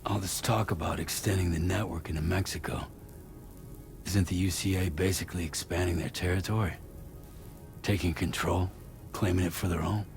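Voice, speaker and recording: A gruff, reluctant courier talks in a very low, gravelly voice. He is wary, terse and skeptical, in the style of a cinematic video game, with music clearly behind him. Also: steady tone, moderate pace.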